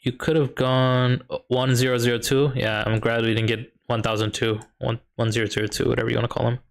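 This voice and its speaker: A man's voice: mid-range voice, flat delivery, a little fast, vocal fry. Easygoing male streamer chatting with his viewers. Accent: Chicago. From United States. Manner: thinks aloud, reads and answers chat questions. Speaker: streamer and high school math teacher. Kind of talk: casual live-stream chat while gaming.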